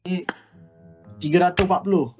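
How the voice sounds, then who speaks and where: A man's voice: high voice, steady tone, moderate pace, slightly rough, lo-fi sound. A young man with a Malaysian accent chatting casually as he streams a game. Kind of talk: casual livestream chat. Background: game music.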